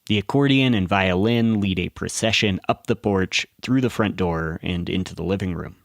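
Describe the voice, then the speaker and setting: Man, low pitch, scripted documentary narration, measured storytelling, dry studio sound. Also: gravelly, no room sound. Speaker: podcast narrator.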